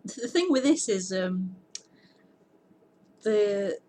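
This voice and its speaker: A woman hosting a live-streamed non-duality sitting, speaking earnestly in halting phrases, often repeating words and breaking into laughter. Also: mid-range voice, animated, dark tone. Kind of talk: live-streamed spiritual talk and Q&A.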